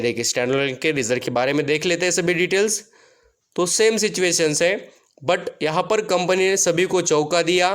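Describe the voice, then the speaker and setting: A man's voice: sits high, a bit bright. A man hosting an online finance video, explaining figures to viewers and mixing in many English terms and numbers. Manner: Hindi heavily mixed with English terms and numbers. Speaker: finance YouTube host. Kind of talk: explanatory stock results commentary to viewers.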